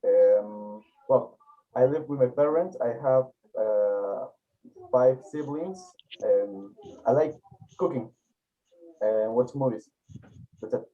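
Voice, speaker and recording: A hesitant teenage boy, pausing often and searching for words. His voice comes through a tinny, phone-like video call line. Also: medium pitch. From Peru. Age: teens. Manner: hesitant, many fillers.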